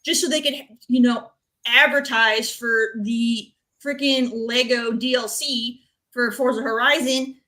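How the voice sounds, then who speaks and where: A woman's voice: fairly high pitch, steady tone, a little slow, pushed and bright. A woman speaking informally as a panelist on a gaming talk show, giving opinions in a blunt, emphatic way.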